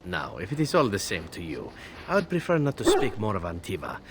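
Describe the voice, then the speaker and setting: An actor playing a smooth-talking, flirtatious assassin with flowery, courtly phrasing in a video game. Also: male voice, fairly low pitch, huge pitch swings, fairly quick, a little hiss or hum. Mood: flirtatious, charming. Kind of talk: video game dialogue acting.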